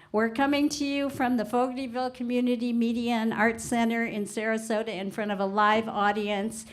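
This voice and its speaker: An older woman hosting a live public radio roundtable in front of an audience, chatty and self-deprecating. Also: medium pitch. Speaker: radio show host. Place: community arts center before a live audience.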